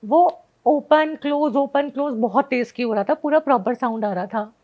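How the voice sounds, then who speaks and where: A woman's voice: high-pitched, normal speed, lo-fi sound. A woman guest telling a personal story in casual conversation, switching often into English phrases. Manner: mixes Hindi with frequent English phrases.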